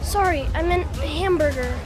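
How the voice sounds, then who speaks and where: A woman's voice: high-pitched, moderate pace, music in the background, natural voice. A woman voicing an innocent, outspoken young boy with a childlike, cheeky manner in an audio drama. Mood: cheeky, insistent.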